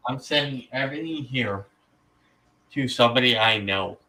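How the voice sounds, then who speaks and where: A man in his thirties talks as a guest on a live call, animated and stop-start, with the occasional casual swear. His tone is bright and sharp, and he sounds set back from the microphone. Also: medium pitch, moderate pace, far from the mic, rough recording. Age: 30s. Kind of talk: casual chat.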